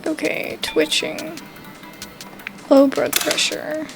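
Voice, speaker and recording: A woman's voice: sits high, flat delivery, slow, vocal fry, music in the background, low-quality recording. A woman reading a game's narration aloud and adding casual commentary as she plays. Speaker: gamer playing and reading a game aloud.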